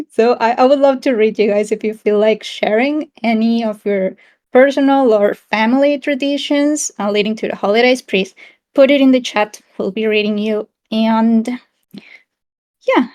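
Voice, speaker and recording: A woman's voice: a little high, a little mellow. A woman from South America co-hosting a casual online community chat in a friendly, giggly, encouraging way. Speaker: town hall co-host.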